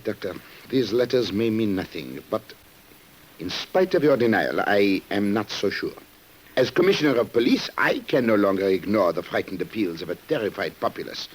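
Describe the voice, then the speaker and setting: Grave low-voiced police commissioner, husky. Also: male voice. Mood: troubled, resolute. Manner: formal, deliberate, dramatic delivery. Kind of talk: 1950s radio drama acting. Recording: muffled-edged vintage radio drama recording.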